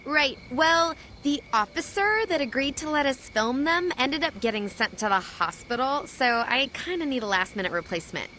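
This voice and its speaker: An actress playing an eager, slightly flustered casting assistant in a video game cutscene. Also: female voice, high voice, animated, moderate pace, few pauses, bright, forceful tone, slight background noise. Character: perky, apologetic female casting assistant, eager to please.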